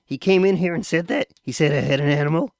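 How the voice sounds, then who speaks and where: A man's voice: fairly high pitch, fast talker, dry recording, studio quality, pitched above the performer's own voice, natural voice. A man reading aloud voices a talkative, nervous boy who rambles and defends himself. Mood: defensive.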